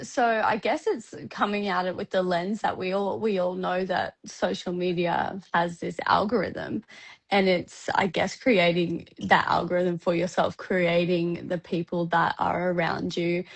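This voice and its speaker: A woman with a croaky morning voice, a wellness guest speaking hesitantly with many ums from her apartment over a video call. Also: lowish voice, steady tone, a little slow. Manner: hesitant, full of ums and apologies. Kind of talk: casual interview chat. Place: apartment in Australia.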